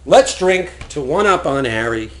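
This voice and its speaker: A man acting a middle-aged husband who is secretly an assassin, in a live comedic stage play, with halting, sheepish delivery. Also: on the high side, sing-song, fairly bright tone, soft background music. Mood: easygoing, reassuring. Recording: echoey stage workshop, noisy room recording.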